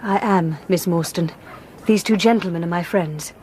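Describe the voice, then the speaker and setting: A woman's voice: lowish voice, moderate pace, darkish tone, lightly acted. An actress playing a polite, composed young lady in a radio drama, formal and well-spoken. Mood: composed, helpful. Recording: noisy radio drama background.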